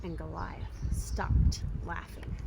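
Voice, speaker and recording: A woman reading a children's story aloud expressively, with dramatic emphasis, pauses and occasional hushed phrasing. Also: on the low side, little pitch movement, creaky, background noise. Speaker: storybook reader.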